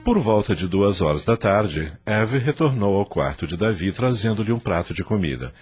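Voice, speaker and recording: A man's voice: lowish voice, lively intonation, normal speed, a little mellow, faint music behind. A man with a Brazilian accent giving dramatic scripted narration for a radio drama.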